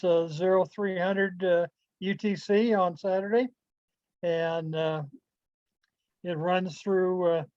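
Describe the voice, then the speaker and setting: A man giving an informal club presentation over a video call, speaking haltingly with pauses. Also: high-pitched, a little mellow, no room sound. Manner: halting, pausing delivery. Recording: dull, lo-fi video call audio.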